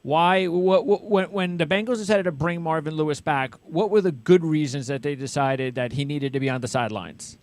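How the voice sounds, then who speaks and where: A man's voice: a little high, moderate pace, hardly any pauses, darkish tone. An American man hosting a sports interview, speaking conversationally with enthusiasm and long, rambling questions. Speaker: interview show host.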